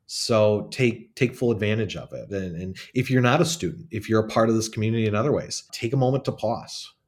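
A man's voice: lowish voice, lively intonation, fairly quick. A young man from northern New York State, a law school faculty member, chatting as a podcast guest with fillers and small laughs. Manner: friendly, rambling, with fillers and chuckles. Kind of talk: casual interview answers.

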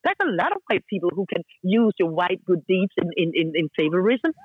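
A woman's voice: lowish voice, very animated, fairly quick, husky, no room sound. A woman on a dull, muffled call line with no room sound at all. She speaks bluntly and with force, in English with non-native grammar. She repeats short commands over and over to drive each point home. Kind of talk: casual chat.

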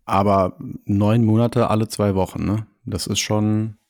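A man's voice: on the low side, little pitch movement, a little slow, darkish tone, dry recording, clean studio sound. A man co-hosting a podcast, speaking thoughtfully and hedging as he weighs his points. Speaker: podcast co-host. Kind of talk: casual podcast chat.

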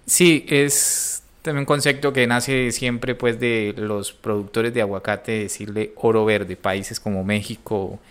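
A man's voice: lowish voice, steady tone, measured pace, few pauses, a bit bright, smooth. A man hosting a podcast, asking questions in a conversational interview style.

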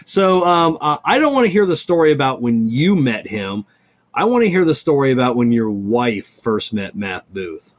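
A man's voice: mid-range voice, sing-song, moderate pace. A man in his forties hosting a casual talk show, joking and often doubling back on his words. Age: 40s. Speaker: cigar talk show host. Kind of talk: casual chat.

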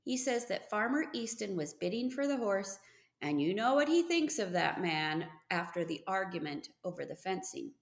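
A weary farm mother from a storybook, worried and quick to admonish her boy, as a woman reader acts her out. The voice has a creaky edge and rings in a roomy space. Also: medium pitch, sing-song. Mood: placating.

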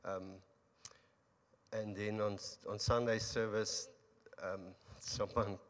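Halting man in a reverberant hall. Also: fairly low pitch, little pitch movement. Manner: halting, many restarts, soft-spoken. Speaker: church member giving a testimony. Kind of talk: unscripted testimony in church. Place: church hall.

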